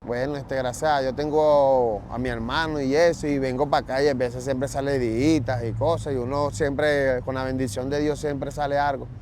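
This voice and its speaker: A man from Venezuela with a Venezuelan Spanish accent, answering street interview questions in a relaxed, matter-of-fact way outdoors. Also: medium pitch, normal speed, hardly any pauses. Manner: relaxed, matter-of-fact, speaks of God's will. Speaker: interviewee, job seeker. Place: outdoors at a store parking lot. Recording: outdoor street noise, traffic.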